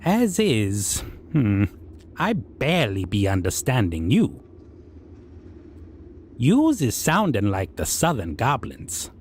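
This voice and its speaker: A man's voice: mid-range voice, huge pitch swings, slow pace, long pauses, a little hiss or hum. A man voicing a proud, amused, menacing goblin king who speaks in broken grammar and musing hums. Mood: mocking. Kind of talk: roleplay audio monologue.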